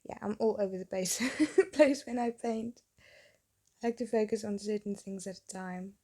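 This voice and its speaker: Gravelly, mellow-voiced woman narrating her painting. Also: medium pitch, steady tone, normal speed. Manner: rambling, chatty, self-deprecating. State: losing her voice, getting sick. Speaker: artist narrating a painting video. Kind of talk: casual voice-over narration. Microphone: phone.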